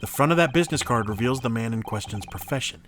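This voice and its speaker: A man's voice: medium pitch, expressive, quick pace, natural voice. A man voicing an earnest, nervous young student defending in court in a dramatic video game, polite and easily flustered. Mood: determined.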